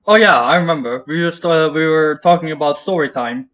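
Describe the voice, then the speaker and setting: A man in a casual online chat, speaking haltingly and often stammering over repeated words, on dull call audio. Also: fairly high pitch, a bit bright. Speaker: video co-host.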